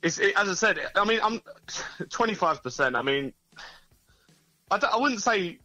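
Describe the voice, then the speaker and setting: Young Englishman, bright, hesitant answers. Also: sits high, moderate pace, no room sound, soft background music, poor audio. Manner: relaxed, hesitant, many restarts. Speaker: band member, guest. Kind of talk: casual interview answers.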